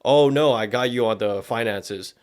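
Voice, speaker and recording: Male podcast host, mid-pitched voice, casual opinionated talk, slangy, heavy swearing. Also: moderate pace. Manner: slangy, profanity-laden speech.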